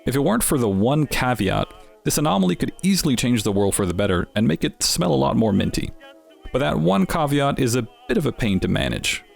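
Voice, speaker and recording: A man's voice: mid-range voice, very animated, brisk, vocal fry, soft background music. A man giving scripted narration as the host of an explainer series, with wry, dryly humorous asides.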